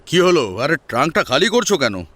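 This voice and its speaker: Man playing a curt, domineering husband, animated pitch. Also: brisk, no echo. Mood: annoyed, scolding. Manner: curt, scolding. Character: domineering, grudging eldest brother and husband, curt and disapproving. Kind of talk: Bengali audio story acting.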